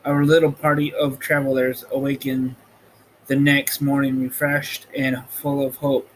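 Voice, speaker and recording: A man hosting and reading a storybook aloud in a halting, amateur way, with casual asides. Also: medium pitch, flat delivery, rough recording. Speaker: host reading a book aloud. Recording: noticeable background noise.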